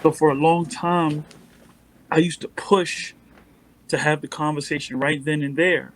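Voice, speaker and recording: A man with a mellow voice, a guest on a casual podcast, speaking in a calm, measured and reflective way. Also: on the high side, slight background noise, rough recording.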